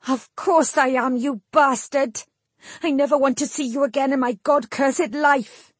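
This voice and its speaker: A woman's voice: sits high, slow, darkish tone, husky, dry recording, voice pushed up, heavily acted. A woman voicing a shaken, bitter young woman in an audiobook, raw with emotion. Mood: bitter, furious. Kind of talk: audiobook character acting.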